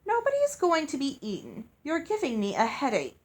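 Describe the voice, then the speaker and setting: Bossy storybook girl acted by a woman, high-pitched, loud, full of self-importance and drama. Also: wildly swooping pitch, brisk. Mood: irritated. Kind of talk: storybook character voice.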